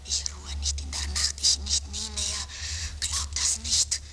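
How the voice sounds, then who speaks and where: Whispering, slow, menacing woman. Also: medium pitch, bright, forceful tone. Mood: menacing, defiant. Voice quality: breathy, whispery. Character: vengeful, defiant woman who has lost her fear. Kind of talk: radio drama monologue.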